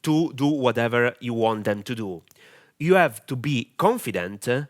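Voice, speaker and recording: A man's voice: medium pitch, animated, moderate pace. A man from Italy with an Italian accent, giving a camera-confidence lesson as a marketing mentor, direct and earnest with many hesitations. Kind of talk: camera-confidence training talk.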